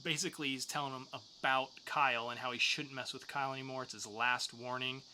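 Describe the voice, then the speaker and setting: Guy cohosting a podcast, bright punchy voice, jokey and casual, bit of background noise. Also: medium pitch, steady tone, brisk. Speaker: podcast co-host. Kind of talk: news segment and comics review.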